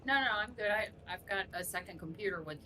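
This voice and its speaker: A woman's voice: mid-range voice, animated, fast talker, pushed and bright, slight background noise. A woman who is an experienced player, chatting informally and reassuringly with her gaming group. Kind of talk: casual gaming chat.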